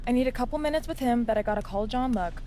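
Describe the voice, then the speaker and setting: Brisk, higher-pitched female executive, acted. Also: warm tone, a little hiss or hum, lightly acted. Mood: brisk, bossy. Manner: brisk, businesslike. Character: brisk, bossy but friendly female executive. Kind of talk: scripted video game dialogue.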